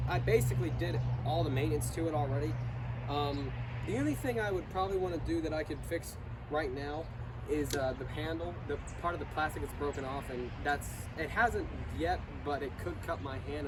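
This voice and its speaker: A young man with a slightly high, congested voice talks through his lawn mower in a loose, hesitant ramble, stopping often to restart his thoughts. He is recorded outdoors, with clear background noise around him. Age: young adult. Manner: hesitant, many fillers and restarts. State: congested, sick or allergies. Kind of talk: casual rambling product review.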